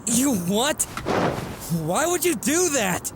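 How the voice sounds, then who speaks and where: A man playing a guilt-ridden, defensive teenage son in a comic dub, hesitant and evasive, halting as he talks. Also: extremely high pitch, very animated, noisy background, full character voice. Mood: exasperated. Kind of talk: amateur comic dub voice acting.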